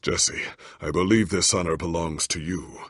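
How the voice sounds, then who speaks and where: A man playing a proud, earnest veteran warrior hero in a video game, speaking formally and theatrically with a deep, raspy voice. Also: steady tone, moderate pace, no echo, clean studio sound. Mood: wry, lofty. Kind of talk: dramatic video game dialogue.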